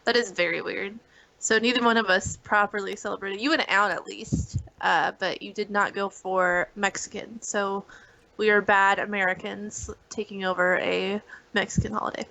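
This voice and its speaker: A woman co-hosting a podcast in casual chat, replying with quick wry comments. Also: medium pitch, steady tone, gravelly, pushed and bright, poor audio. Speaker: podcast co-host.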